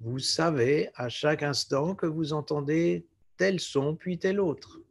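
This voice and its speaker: A man with a slightly high-pitched voice leads a guided relaxation. His delivery is very slow and calm, with short, measured phrases set apart by pauses, as a yoga nidra teacher would give them. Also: vocal fry. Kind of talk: guided relaxation meditation instruction.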